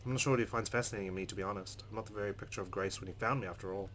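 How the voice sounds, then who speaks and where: A man doing a let's play, reading a game's narration aloud in an expressive, measured, dramatic way. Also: on the low side, slight background noise. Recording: game music under the voice.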